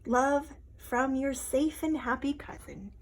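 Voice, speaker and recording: Storybook hen, female reader: high, unhurried, expressive, chatty, shaken yet relieved. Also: exaggerated voice. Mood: cozy, relieved. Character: relieved, chatty mother hen writing a letter, still shaken. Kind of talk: dramatic storybook read-aloud.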